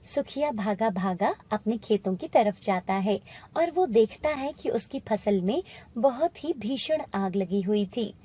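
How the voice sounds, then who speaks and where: A woman's voice: medium pitch, expressive, unhurried, warm tone, husky. A woman narrating a scripted moral story as the storyteller.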